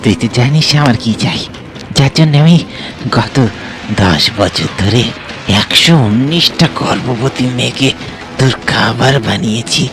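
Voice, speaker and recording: A man's voice: medium pitch, lively intonation, unhurried, noisy background, close to an everyday voice. An actor playing a ruthless, power-hungry male tantric in a horror cartoon, with a commanding, incantatory manner. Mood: eager, sinister. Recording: loud music behind the voice.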